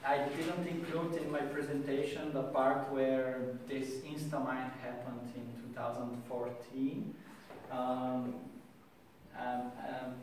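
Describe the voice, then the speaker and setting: A young man giving a meetup talk to a small audience, hesitant with many pauses and restarts, recorded by a distant camera in a small room. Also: medium pitch, level tone, darkish tone, a little hiss or hum. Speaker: meetup speaker. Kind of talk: presentation and Q&A. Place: small room with wall art and screen. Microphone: far camera, no mic. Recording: echoey room from far off.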